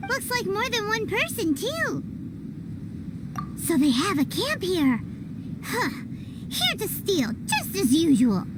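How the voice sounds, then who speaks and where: A woman voicing a tiny childlike fairy companion in an anime-style game, squeaky, cheeky and exclamatory. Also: wildly swooping pitch, slow, pauses often, noisy background, exaggerated voice. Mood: suspicious, scornful. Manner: exclamatory, cutely indignant, refers to self in third person.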